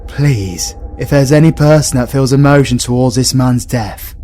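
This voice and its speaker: An actor with a British accent playing a sarcastic, foul-mouthed villain as a reluctant miser, in a colloquial, comic audio drama style. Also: male voice, medium pitch, moderate pace, dark tone, soft background music, close to an everyday voice. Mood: uneasy pleading. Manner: colloquial, wry.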